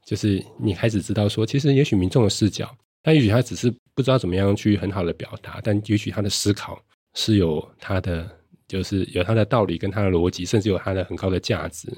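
Man, Taiwanese Mandarin accent, mellow low voice, earnest wordy explanations, interview guest. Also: fast talker, slightly rough, no echo, clean studio sound. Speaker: guest judge.

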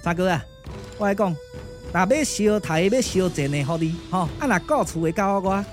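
A man's voice: sits high, expressive, moderate pace. A cheeky little comic sidekick in a Taiwanese puppet radio play. He chatters in a streetwise, boastful way with a Taiwanese accent, peppering everything with interjections, with music behind. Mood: evasive, joking. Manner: chatty, colloquial, full of interjections. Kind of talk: Taiwanese puppet theatre radio drama acting.

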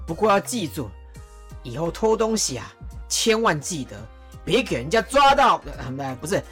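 A woman's voice: low-pitched, a bit bright. A woman playing a jovial, teasing male warlord chancellor in an audio drama, chuckling and mock-stern. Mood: fatherly.

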